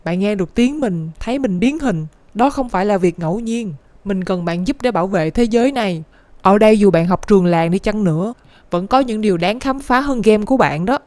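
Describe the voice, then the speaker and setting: A woman's voice: mid-range voice, animated, brisk, darkish tone, dry recording, natural voice. Woman voicing an earnest cartoon rooster scientist, southern Vietnamese. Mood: solemn, persuasive. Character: talking rooster scientist, secretive earnest protector of the Earth. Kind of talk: animated cartoon dialogue. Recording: music behind the voice.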